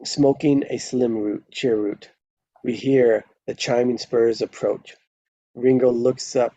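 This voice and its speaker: A man's voice: medium pitch, steady tone, takes it slowly, mellow, dry recording. A man reading stage directions as narrator at a live screenplay table read, on a dull lo-fi microphone.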